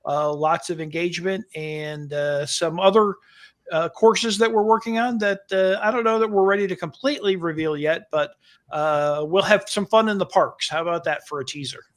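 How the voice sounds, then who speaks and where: A man's voice: sits high, fairly even intonation, moderate pace. A man co-hosting a podcast in casual chat. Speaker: podcast co-host, course instructor.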